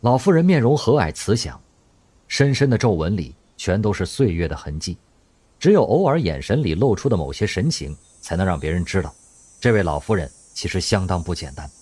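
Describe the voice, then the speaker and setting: A man narrating a radio drama in scripted storytelling style, expressive and lively in delivery. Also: sits low, brisk, slightly rough.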